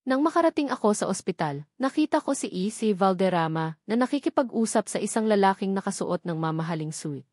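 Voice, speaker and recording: A woman's voice: mid-range voice, animated, brisk, few pauses, darkish tone, no room sound, studio quality. A woman with a Filipino accent narrates a scripted first-person romance story in an emotive way, setting short phrases apart for drama.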